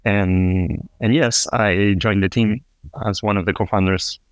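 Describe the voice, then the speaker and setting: A man from Argentina, a fintech co-founder, answering interview questions in a halting way with many restarts and fillers. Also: on the low side, steady tone, no echo, studio quality. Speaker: podcast guest, fintech co-founder.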